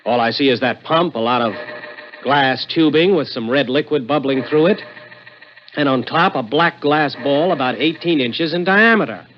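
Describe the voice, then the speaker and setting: An American actor playing a smooth-talking, scheming opportunist who also narrates an early 1960s radio suspense drama. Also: male voice, mid-range voice, moderate pace, close to an everyday voice. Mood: puzzled. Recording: muffled old radio broadcast, music behind.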